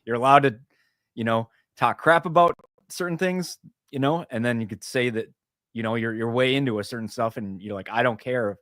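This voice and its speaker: A man's voice: mid-range voice, normal speed, dry recording. Rambling man hosting on a dry mic. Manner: relaxed, rambling, says "you know" a lot. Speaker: host. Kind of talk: casual podcast chat.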